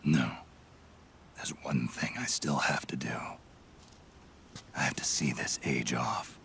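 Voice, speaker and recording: An actor playing a dying, prematurely aged soldier in a game cutscene, terse, solemn and weary, with a gravelly, breathy rasp. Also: male voice, very deep voice, steady tone, slow, a bit bright, full character voice. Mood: weary, resigned. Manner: terse, solemn, half-whispered. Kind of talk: dramatic video game cutscene acting.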